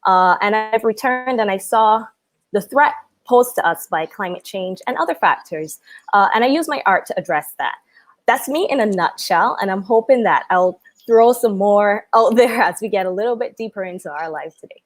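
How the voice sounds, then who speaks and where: A woman with a Barbadian accent answers interview questions over a video call. She speaks earnestly and thinks aloud, restarting phrases and filling pauses with "um." Her voice sits at a middle pitch. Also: lively intonation. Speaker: artist guest.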